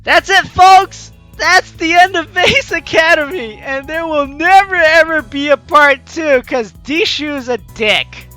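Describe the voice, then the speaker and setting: A gaming video host doing expressive, effusive, exclamatory let's play commentary over game music. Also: sits high, takes it slowly, hardly any pauses. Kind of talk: let's play commentary, also reading on-screen text aloud.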